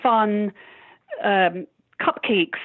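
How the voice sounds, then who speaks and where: A woman's voice: medium pitch, drawn-out words, no echo. Outspoken woman in her fifties, tinny line. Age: 50s. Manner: passionate, outspoken, emphatic. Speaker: musician guest. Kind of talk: candid interview conversation. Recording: tinny phone-line sound.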